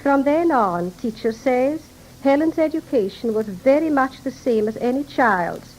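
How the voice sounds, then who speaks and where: A woman's voice: high-pitched, animated, measured pace, darkish tone. A woman explaining things formally and precisely as a guest in a 1930s radio interview. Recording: noisy 1930s radio broadcast recording.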